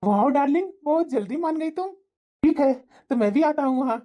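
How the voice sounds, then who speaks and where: A woman's voice: high-pitched, lively intonation, moderate pace, dark tone. A woman playing a smug ex-boyfriend turned blackmailer in a melodrama, mocking and taunting. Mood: smug, menacing. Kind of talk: melodramatic family story dialogue.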